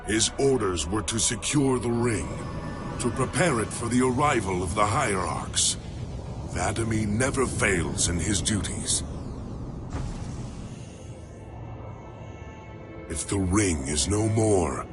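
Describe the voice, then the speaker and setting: A man's voice: lowish voice, expressive, a little slow, over music. A man playing an earnest, troubled alien rebel in a video game cutscene, grave and formal. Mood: grave doubt.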